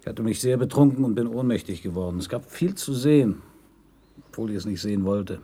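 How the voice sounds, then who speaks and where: An actor playing an uneasy, confiding man under police questioning in a German radio crime drama, husky and hesitant. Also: on the low side, fairly quick, dark tone. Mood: brooding, confessional.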